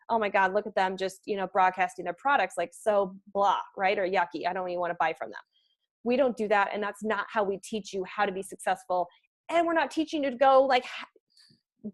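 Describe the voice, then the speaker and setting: A woman fitness coach giving a chatty, enthusiastic motivational talk. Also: a little high. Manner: chatty, enthusiastic, full of fillers. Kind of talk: motivational presentation.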